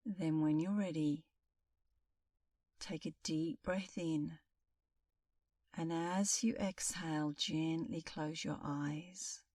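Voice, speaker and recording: A middle-aged woman leading a guided meditation as an instructor, speaking in a calm, soothing, unhurried way with long pauses. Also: low voice, dry recording, clean studio sound, distant mic.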